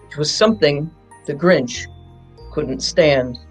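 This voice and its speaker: Grown-up reading a kids rhyme story, slow, faint music in background. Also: mid-range voice. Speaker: library staff member reading aloud. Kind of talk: children's storybook reading with drawn-out repetition.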